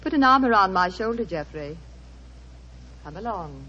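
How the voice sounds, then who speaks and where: Actress as composed society wife, defiant yet polite, melodic wide-ranging delivery, noisy vintage radio recording. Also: female voice, medium pitch, expressive, moderate pace, lightly acted. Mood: defiant composure. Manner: composed, formal, firmly polite. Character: poised, defiant married society woman. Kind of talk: 1940s radio acting.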